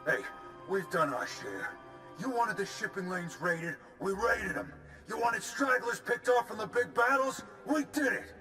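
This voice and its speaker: Angry pirate boss, high voice, blustery and defensive, big echoey hall, game cutscene. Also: male voice, lively intonation, a little slow, sharp tone, exaggerated voice. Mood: indignant, defensive. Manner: blustering, argumentative. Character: hot-tempered male pirate crew boss, defensive and indignant. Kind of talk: video game voice acting. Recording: echoey hall, music behind.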